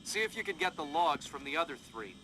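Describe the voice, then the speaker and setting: An actor playing an easygoing, keen intelligence colleague in a video game, informal yet businesslike. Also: male voice, fairly high pitch, very animated, a little fast, noisy background. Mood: casual, keen.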